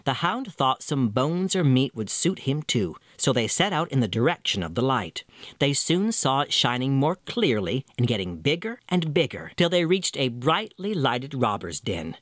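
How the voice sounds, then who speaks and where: A man reading a scripted fairy tale as storyteller, with expressive delivery. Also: medium pitch, normal speed, dry recording, clean studio sound. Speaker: storyteller, narrator.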